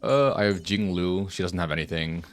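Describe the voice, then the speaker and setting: A man's voice: deep voice, moderate pace, soft background music. A man doing casual live gameplay commentary as a streamer, rambling and thinking aloud, close to the microphone. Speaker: game streamer.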